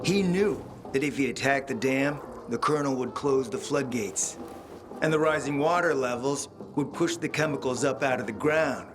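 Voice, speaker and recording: An actor playing a suave, scheming middle-aged spymaster in a video game, speaking in a theatrical, smooth, insinuating way. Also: male voice, mid-range voice, close to an everyday voice. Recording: loud music behind the voice.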